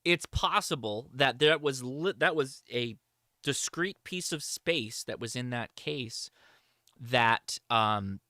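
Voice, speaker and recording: A man's voice: medium pitch, lively intonation, takes it slowly, bright, forceful tone, no room sound, clean studio sound. A man hosting a livestream, answering chat questions in a casual, informal, enthusiastic hobbyist way.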